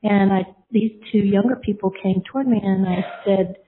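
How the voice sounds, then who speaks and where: A woman's voice: medium pitch, fairly even intonation, moderate pace, a little hiss or hum. A middle-aged woman sharing a personal testimony, telling her story earnestly and rambling, with many fillers and restarts. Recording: tinny phone-like line.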